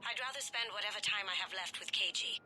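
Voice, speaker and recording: A woman's voice: mid-range voice, fast, a crowd in the background, close to an everyday voice. A woman playing a wry, reluctant, grieving character in a video game, with a sardonic way of talking. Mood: bitter, grieving. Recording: clear ambient noise behind.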